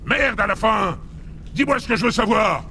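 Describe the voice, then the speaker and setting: A man voicing a furious, brutish male alien thug in a video game, gravelly and threatening as he bellows. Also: sits high, animated, moderate pace, sharp tone, a little hiss or hum, heavily acted. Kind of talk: French video game dub.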